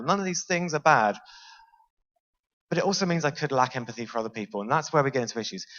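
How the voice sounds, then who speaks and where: British man giving a relaxed conference talk. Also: medium pitch, lo-fi sound. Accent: British English. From Britain. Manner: easygoing, self-aware, asks the audience questions. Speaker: conference speaker. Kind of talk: conference talk to a live audience. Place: large lecture hall on a stage.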